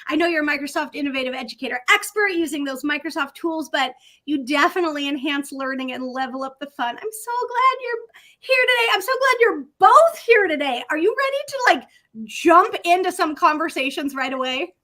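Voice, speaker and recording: A woman's voice: high-pitched, expressive, fairly quick, sharp tone. A middle-aged woman hosting an upbeat online session from a home office, talking in an effusive, enthusiastic way over a video call. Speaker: host.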